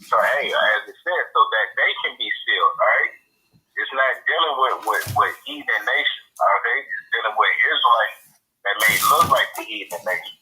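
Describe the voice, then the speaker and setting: A man's voice: on the low side, expressive, moderate pace, bright, forceful tone, rough recording. A man explaining scripture in a casual preaching talk, checking in with "right?" again and again.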